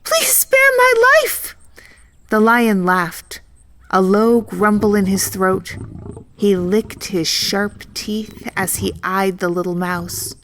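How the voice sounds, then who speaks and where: A woman narrates a children's story from a script. Her voice sits at a medium pitch, and she takes her time, shaping each phrase with deliberate expression. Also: fairly even intonation.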